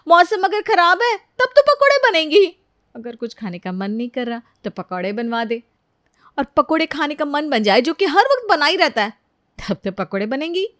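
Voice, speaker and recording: A woman's voice: high-pitched, lively intonation, moderate pace, a bit bright, no room sound, studio quality, pitched above the performer's own voice. A woman voicing an overworked, exasperated young daughter-in-law in a storybook, complaining in a whiny, dramatic way. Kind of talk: acted Hindi audio storybook dialogue.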